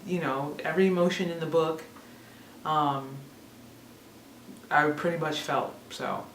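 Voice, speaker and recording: A woman's voice: sits low, steady tone, unhurried. A woman in her thirties chatting casually to camera as a vlogger, rambling and laughing at herself. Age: 30s. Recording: echoey room, mic a bit far off, faint hiss.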